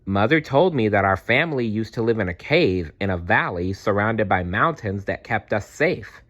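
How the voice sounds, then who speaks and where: A man's voice: lowish voice, lively intonation, normal speed, a bit bright, lo-fi sound. A man voicing a cautious but loyal little girl dinosaur in a storybook, childlike, chatty and earnest. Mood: explaining.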